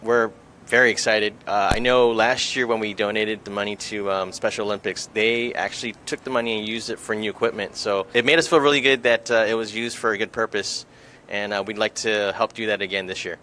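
A man with a lowish but bright voice, talking haltingly and filling pauses with um and uh. Faint background noise sits behind him, as if he were recorded outside. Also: steady tone. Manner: hesitant, many ums and uhs, friendly. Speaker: event organizer. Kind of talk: casual interview answers.

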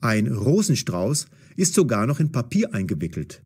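A man narrating a scripted story for language learners in a deliberate, carefully enunciated, dramatic storytelling style. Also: mid-range voice, sing-song, a little mellow, husky.

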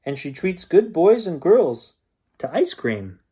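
A man reading aloud gives a cheerful, literal-minded storybook helper woman, with a bright, slightly raised voice. She answers every question brightly and innocently. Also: fairly high pitch, very animated, slow, slightly rough, voice pushed up, heavily acted.

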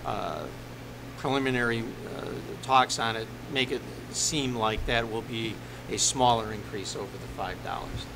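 A middle-aged man speaking haltingly as a village attorney, formally explaining ordinances at a board meeting. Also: gravelly. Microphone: desk microphone on the dais. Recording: noisy meeting room with ventilation rumble.

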